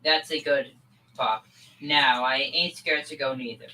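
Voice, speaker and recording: A man's voice: medium pitch, steady tone, measured pace, sharp tone, low-quality recording. A young male performer playing an eager, needling son with slangy boyish talk in a school old-time radio comedy. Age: young teen. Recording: faint background noise.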